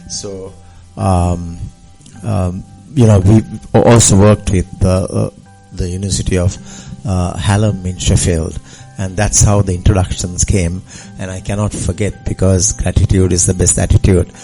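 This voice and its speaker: Deep, mellow-voiced man. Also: flat delivery, measured pace, soft background music, low-quality recording. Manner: earnest, with fillers. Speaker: foundation representative. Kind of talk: speech-like radio talk.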